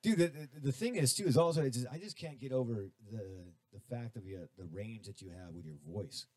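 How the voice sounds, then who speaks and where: A man's voice: mid-range voice, fairly quick, a little mellow, dry recording. Man, middle-aged, radio host, rambling casual chat, lots of restarts, dry close studio sound. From Southern California.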